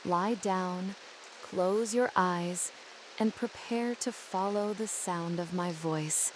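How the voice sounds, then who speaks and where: A woman narrates a guided sleep visualization at a very unhurried pace, with a medium-pitched voice. She phrases each thought deliberately and soothingly. A faint hiss of rain runs behind her words. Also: a little mellow, rough recording.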